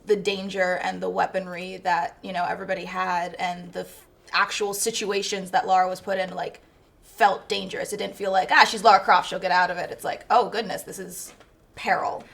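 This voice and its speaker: A woman's voice: fairly low pitch, little pitch movement, a little fast, gravelly, fairly bright tone. A woman chatting as a panelist in a casual film review, conversational and often acting out her own reactions.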